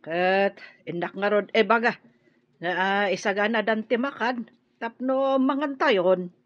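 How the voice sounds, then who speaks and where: A woman's voice: medium pitch, unhurried, highs cut off, close to an everyday voice. A woman playing a nagging, bossy figure in an Ilocano radio drama, pressing with questions, with an Ilocano accent.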